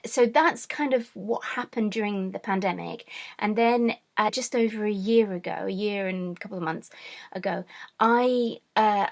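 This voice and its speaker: Middle-aged woman, craft vlog host, mid-pitched, chatty, informal delivery with self-corrections. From France, born to British parents. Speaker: host, cross-stitch designer. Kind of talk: casual vlog introduction.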